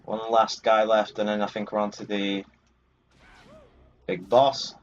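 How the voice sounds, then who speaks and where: A man's voice: lowish voice, flat delivery, unhurried, a little hiss or hum. Muffled man doing casual gaming commentary. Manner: jokey, mildly sweary banter, talking to the game's characters. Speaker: gaming video host. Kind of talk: casual let's play commentary. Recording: muffled lo-fi audio over game music. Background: video game music.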